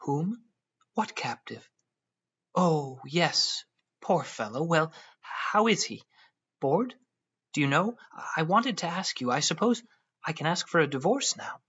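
A man's voice: on the high side, animated, slow pace, a bit bright, breathy edge, lo-fi sound, pitched above the performer's own voice, full character voice. A man playing a petulant, flighty young wife. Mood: breezy. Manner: raised, whiny, petulant delivery.

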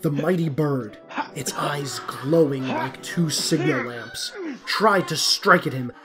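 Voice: medium pitch, takes it slowly. A narrator reading a scripted storybook tale. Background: plucked string music.

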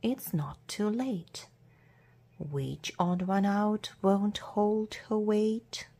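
A woman reading a children's adventure storybook aloud as a narrator, in a measured, deliberate way with hushed word endings. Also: medium pitch, slightly rough. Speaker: storybook reader.

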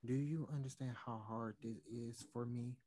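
A man's voice: medium pitch, fairly even intonation, moderate pace, mellow, natural voice. A young man playing a regretful, closeted touring musician, earnest and hesitant as he pleads to reconcile in a play read over video call. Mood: earnest, pleading. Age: young adult. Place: small room at home, indoors.

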